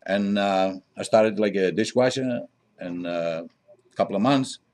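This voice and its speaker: A middle-aged Greek man speaks in a low-pitched voice with a Greek accent. He talks conversationally as an interview guest, picking his way through English as a second language in halting phrases with frequent pauses. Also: darkish tone. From Greece. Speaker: interview guest, diner owner.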